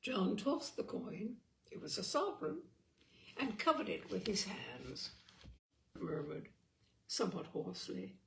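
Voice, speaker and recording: A woman reading a literary story aloud as narrator, expressively, with theatrical, deliberate phrasing and dramatic pauses. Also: medium pitch, very animated, creaky. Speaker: audiobook reader and storyteller.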